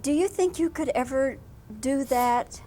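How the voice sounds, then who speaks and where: A woman's voice: measured pace, warm tone. A woman working as an entertainment interviewer, asking questions in a conversational television style. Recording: rumbly, noisy archival interview tape.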